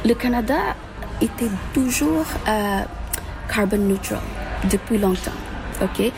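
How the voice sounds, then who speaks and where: Woman, gravelly voice, slow and halting, lots of fillers, music behind. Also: mid-range voice, rough recording. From Philippines. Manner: halting, many fillers and restarts, mixes in English terms. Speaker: conference participant. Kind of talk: interview answer. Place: climate event at a university.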